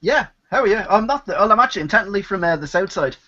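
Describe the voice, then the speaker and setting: A man's voice: sits high, animated, fast talker, bright, forceful tone. A man with a Dublin Irish accent chatting casually and self-deprecatingly on a group stream call. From Dublin, Ireland. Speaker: stream panelist. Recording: dull lo-fi call audio.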